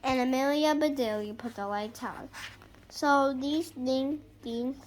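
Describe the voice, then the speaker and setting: A young girl reading a picture book aloud in an animated, halting way, stopping to react and ask questions. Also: high voice, noisy background. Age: young child. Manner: halting over harder words, interjecting reactions and questions to listeners. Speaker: child reader.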